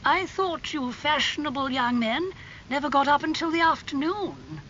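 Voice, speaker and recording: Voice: sits high, very animated, moderate pace, bright, forceful tone, muffled recording, a little hiss or hum, voice pushed up, exaggerated voice. A narrator playing a genteel, gossipy aristocratic aunt in a dramatised audiobook. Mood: surprised.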